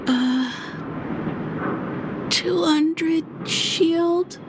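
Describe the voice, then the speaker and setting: A woman's voice: very high-pitched, drawn-out words, noisy background, heavily acted. An actress voicing a defiant, stubborn little street-urchin boy in a video game, bratty and indignant. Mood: evasive. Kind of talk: video game cutscene voice acting.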